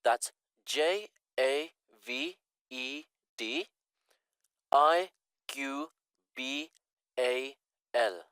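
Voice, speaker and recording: Polite eager student caller, really up and down pitch, dry recording. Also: male voice, animated, drawn-out words, long pauses, fairly bright tone, smooth, no room sound, full character voice. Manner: polite, careful. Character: courteous eager male Pakistani student enquiring by phone. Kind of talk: acted listening-test dialogue.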